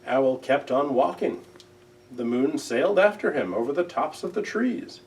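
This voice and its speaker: A man reading a children's storybook aloud in a gentle, measured, expressive way. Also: mid-range voice, far from the mic, poor audio. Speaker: library director reading aloud.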